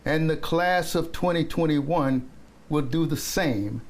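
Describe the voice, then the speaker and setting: A man delivering a formal, measured address in an oratorical manner. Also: fairly high pitch. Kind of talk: formal commencement-style address.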